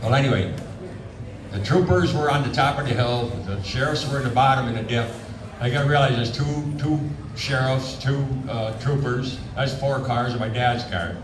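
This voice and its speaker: A man's voice: mid-range voice, normal speed, background noise. An older man with an Upstate New York accent, a former police officer telling a rambling story to a festival crowd on a handheld mic. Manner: plain-spoken, rambling, self-correcting. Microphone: handheld microphone. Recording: echoey, from far off, loud music behind.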